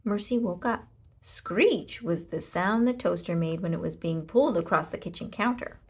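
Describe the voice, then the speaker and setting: A woman narrating a children's storybook aloud, with expressive, deliberate delivery and emphasis on short sentences. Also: on the low side, husky. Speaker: storybook reader.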